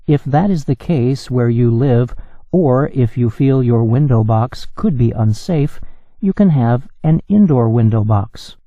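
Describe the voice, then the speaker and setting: A man reading a news article in a measured, evenly paced way for English learners. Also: mid-range voice, lively intonation, no echo, dull audio. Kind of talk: slow, clear scripted reading.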